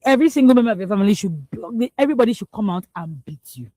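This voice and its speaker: A woman's voice: medium pitch, rapid-fire, no echo. A young Nigerian woman co-hosting a radio newspaper review, reading headlines and then giving emphatic, exclamatory opinions. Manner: animated, emphatic, exclamatory, laughs between points. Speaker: radio news review co-host. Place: radio studio.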